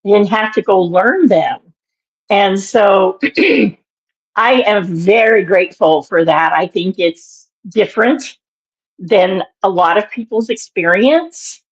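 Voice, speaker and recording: Let a raspy older woman ramble, throat catching. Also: mid-range voice, muffled recording. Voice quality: naturally raspy voice. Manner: meandering, halting, with many fillers and restarts. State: throat irritated by allergies, frequent throat-clearing. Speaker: guest, minister and author. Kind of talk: reflective interview storytelling.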